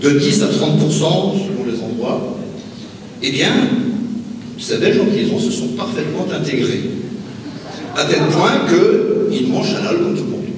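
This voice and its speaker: A man with a Belgian French accent gives a satirical public speech in an ironic, mock-reassuring way, pausing before his punchlines. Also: a little high, expressive. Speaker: invited speaker at a political conference. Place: large echoing hall with an audience. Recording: very echoey hall, distant mic, faint noise.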